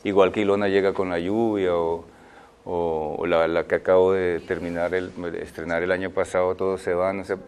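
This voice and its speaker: An older man speaks in a very low voice, weighing his words as an interview guest. He restarts phrases and leans on fillers while he thinks. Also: flat delivery, darkish tone, smooth. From Colombia. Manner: thoughtful, halting, many fillers and restarts. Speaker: guest, film director. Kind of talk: interview answers.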